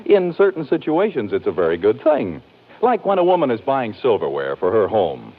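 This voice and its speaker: A man's voice: mid-range voice, huge pitch swings, moderate pace. A man with an American accent reading scripted 1940s radio announcements in a polished, emphatic way. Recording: tinny old radio broadcast recording.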